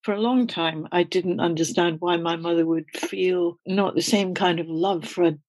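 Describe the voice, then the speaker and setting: A woman's voice: on the low side, fairly even intonation, normal speed, darkish tone, dry recording. An older woman from Scotland, answering interview questions in a candid, reflective way and laughing often as she talks. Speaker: guest.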